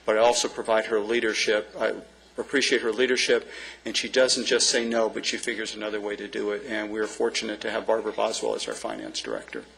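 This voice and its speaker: A man's voice: on the low side, fast talker, gravelly, fairly bright tone, low-quality recording. A man serving as city manager gives earnest, plain closing remarks at a council meeting, with frequent ums and uhs.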